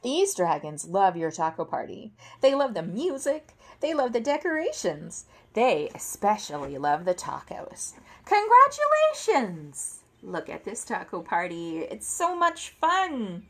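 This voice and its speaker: A woman in her thirties reading a children's picture book aloud at home, expressively, with theatrical emphasis and deliberate phrasing. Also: medium pitch, huge pitch swings, a bit bright. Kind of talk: animated read-aloud to children. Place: indoor room at home, seated at a table.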